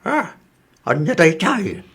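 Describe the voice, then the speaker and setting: Man voicing an excitable high-pitched elderly grandparent. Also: takes it slowly, exaggerated voice. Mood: exclamatory. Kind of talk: Ilocano comedy radio drama acting.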